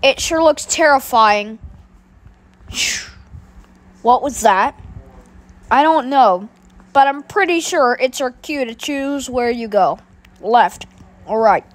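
A woman's voice: high voice, expressive, slow, pauses often. A woman voicing a bossy older boy who gloatingly announces punishments in a homemade skit.

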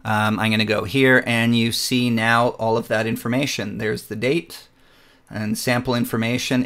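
A man narrating a screen-recorded photo software tutorial, walking through each step in a relaxed way with frequent ums and uhs. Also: medium pitch, smooth.

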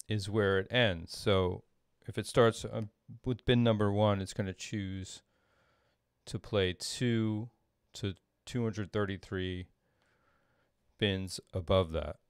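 A middle-aged man giving an informal tutorial at his desk, thinking aloud in a halting way as he explains. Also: low voice, vocal fry, clean tone, no echo, studio quality. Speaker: composer demonstrating audio programming. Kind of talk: informal screen-share tutorial. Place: small indoor room at a desk.

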